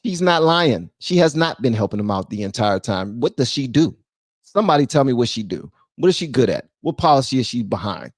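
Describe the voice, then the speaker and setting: A man's voice: medium pitch, very animated, brisk, no room sound, clean studio sound. A man hosting a reaction commentary channel, talking emphatically and firing off rhetorical questions.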